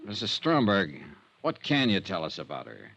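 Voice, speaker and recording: An actor playing a level-headed American insurance investigator in a 1950s radio detective drama, speaking in a dry, terse, measured way. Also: male voice, deep voice, huge pitch swings, gravelly, fairly bright tone, heavily acted. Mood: calm, probing. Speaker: lead actor and narrator. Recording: muffled old-time radio recording.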